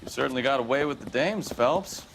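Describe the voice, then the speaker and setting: An actor playing a blunt, sardonic cop, the wisecracking partner in a crime drama game. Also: male voice, mid-range voice, lively intonation, a little fast, gravelly, noisy background. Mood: sardonic, impatient.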